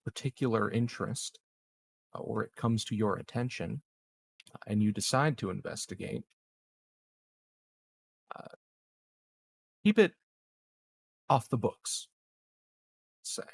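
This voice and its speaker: A man playing a polite, calculating and evasive corporate schemer in a tabletop game, gravelly and husky, with measured, formal, halting phrasing. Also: fairly low pitch, heavily acted. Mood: guarded, calculating. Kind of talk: improvised tabletop roleplay.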